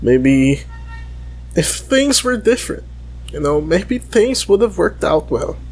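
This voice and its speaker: A man giving an intimate, emotional monologue in a halting, confessional way. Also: a little high, big pitch swings, darkish tone.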